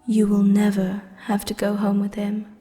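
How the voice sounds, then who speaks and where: A woman playing a worldly, softly dominant vampire countess in an intimate roleplay ASMR, with a soft, gentle, tenderly commanding way of speaking. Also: mid-range voice, monotone, moderate pace, husky, no echo, soft background music, lightly acted. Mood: gentle, coaxing.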